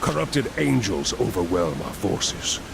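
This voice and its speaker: An actor playing a proud, weary angel commander in a video game, solemn and formal in manner. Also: male voice, lowish voice, very animated, a little slow, vocal fry, full character voice. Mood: sombre. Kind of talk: video game cutscene acting. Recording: noisy rain-like ambience.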